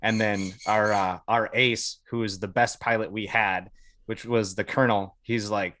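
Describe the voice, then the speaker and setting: A man's voice: lowish voice, fairly even intonation, normal speed, fairly bright tone, no echo. An American man casually telling stories as a podcast guest, speaking informally with some swearing and acted-out bits of dialogue. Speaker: podcast guest, Air Force veteran. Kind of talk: casual storytelling.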